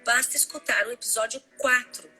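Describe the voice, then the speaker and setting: A woman's voice: on the high side, a little fast, pushed and bright. Brazilian lady reading a podcast intro, music playing behind her. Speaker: podcast host, theatre director.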